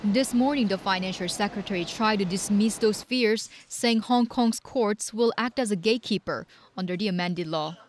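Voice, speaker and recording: A woman's voice: mid-range voice, normal speed, few pauses. A woman reporting the news in scripted narration.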